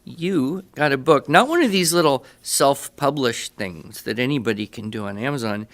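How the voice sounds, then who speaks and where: A middle-aged man with a medium-pitched voice hosts a podcast conversation. He speaks in deliberate phrases with pauses, in an informal interviewing style. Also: animated, fairly bright tone.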